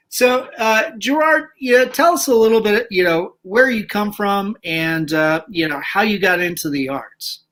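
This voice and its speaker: Bright-voiced guy hosting a chat show, jokey, trips over his words a lot. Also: high voice, animated, takes it slowly. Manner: joking, swears, stumbles over words. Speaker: podcast host. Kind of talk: casual interview chat.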